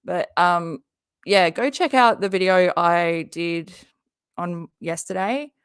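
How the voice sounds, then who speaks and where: Fields: Sex: woman. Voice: gravelly and hoarse. Style: chatty live-stream host. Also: lowish voice, slow, no echo, studio quality. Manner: chatty, jokey, talks to her viewers. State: hoarse, often losing her voice. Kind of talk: casual live-stream reaction chat.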